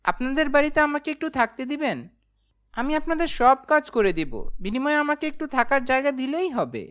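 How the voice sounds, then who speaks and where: A woman's voice: high voice, expressive, brisk, a bit bright. A woman voicing a timid, naive, polite young man who tells his own cartoon story in a meek, earnest way. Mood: pleading. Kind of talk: first-person cartoon storytelling and dialogue.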